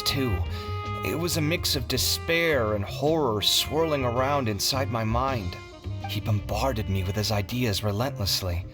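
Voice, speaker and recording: A man voicing an expressive, generous, easily exasperated young scholar in an anime video game, articulate and animated. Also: fairly low pitch, natural voice. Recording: guitar music behind.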